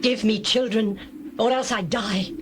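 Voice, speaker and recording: A woman's voice: fairly high pitch, measured pace, lightly acted. A woman playing an envious, determined young wife in a dramatized scripture reading, intense and formal in her delivery. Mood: desperate. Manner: intense, formal, archaic diction. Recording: clear background noise, birdsong and doves.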